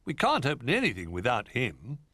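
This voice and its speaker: A man voicing a wise, fatherly older teddy bear who speaks slowly and reassuringly. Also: mid-range voice, wildly swooping pitch, sharp tone, no room sound, pitched above the performer's own voice, heavily acted. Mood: anxious. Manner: slow and reassuring.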